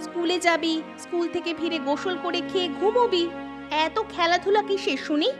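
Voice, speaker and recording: Cartoon housewife: high voice, sharp tongue, nags and snaps back, music bed. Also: female voice. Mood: coaxing, exasperated. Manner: nagging, quick to retort. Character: sharp-tongued, touchy young housewife and mother. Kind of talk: Bengali cartoon story acting.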